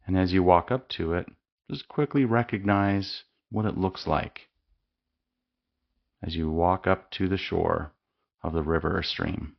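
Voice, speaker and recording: A man leading a guided mindfulness meditation with slow, calm, soothing instructions and long pauses. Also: low voice, gravelly, lo-fi sound.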